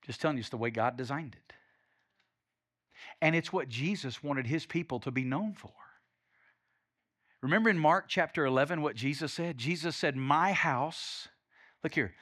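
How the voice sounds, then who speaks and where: A man's voice: a little high, moderate pace, pauses often, a bit bright, breathy edge, no echo, clean studio sound. A male pastor from Alabama with a Southern accent, preaching a sermon with emphatic, conversational delivery. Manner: preaching with rising and falling intensity, emphatic, conversational asides.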